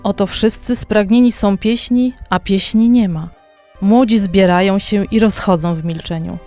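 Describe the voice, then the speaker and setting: A man's voice: sits high, fairly even intonation, moderate pace, a little mellow, soft background music. A man playing a troubled, disappointed subject of a fairy-tale kingdom, mournful, in a children's radio play.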